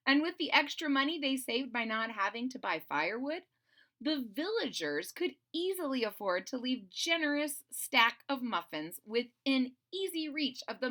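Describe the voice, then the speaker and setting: A woman reading a storybook aloud to children, expressive and conversational, speaking directly to young listeners. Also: fairly high pitch. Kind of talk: animated children's read-aloud with casual asides.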